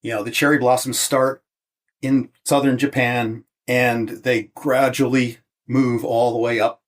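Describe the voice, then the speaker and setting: American guy about seventy, guest on an interview, lots of um and restarts, middle pitch. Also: flat delivery, measured pace. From United States. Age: 70s. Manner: hesitant, many restarts and fillers. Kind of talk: casual interview conversation.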